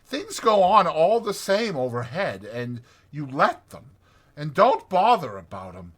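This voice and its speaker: A man's voice: on the high side, very animated, unhurried, husky, voice pushed up, heavily acted. A man voicing a polite, homely little mole in a dramatized storybook reading, earnest and appreciative. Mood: contented.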